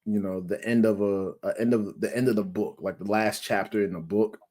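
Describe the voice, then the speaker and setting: A young man co-hosting a casual music review podcast, unhurried and emphatic, often restarting his sentences. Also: lowish voice, steady tone, dark tone. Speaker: podcast co-host.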